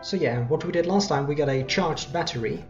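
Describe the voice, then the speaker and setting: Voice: mid-range voice, fairly quick, lo-fi sound, faint music behind. A person giving casual let's play commentary, rambling and correcting themselves along the way. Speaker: gameplay host.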